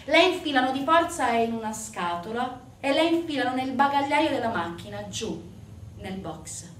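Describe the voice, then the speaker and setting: A woman giving a scripted theatrical narration in a grave, measured manner with dramatic pauses. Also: on the high side, slight background noise. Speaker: stage actress narrating. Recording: echoey room, distant mic, music.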